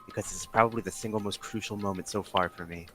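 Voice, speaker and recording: A man playing a composed, low-voiced girl detective. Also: fairly even intonation, close to an everyday voice. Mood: composed, guarded. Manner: measured, matter-of-fact. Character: cool, composed, secretive teenage girl detective. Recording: music behind the voice.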